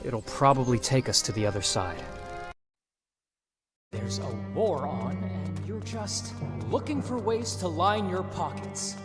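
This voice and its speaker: A wary, brusque male deserter from a fantasy game, blunt and casual. His intonation moves widely, with clear music playing behind him. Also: on the high side, expressive, unhurried. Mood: puzzled, irritated. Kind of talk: English video game cutscene acting.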